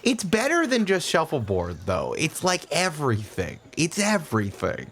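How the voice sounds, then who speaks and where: A man's voice: on the high side, wildly swooping pitch, moderate pace, fairly bright tone, a little hiss or hum. A man chatting casually and playfully during a tabletop game he helps to create.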